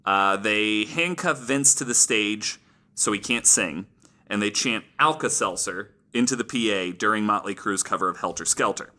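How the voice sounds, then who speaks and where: A man's voice: lowish voice, normal speed, sharp tone. A man hosting a casual podcast, telling stories from his notes in an informal, amused, sweary way. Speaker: podcast host.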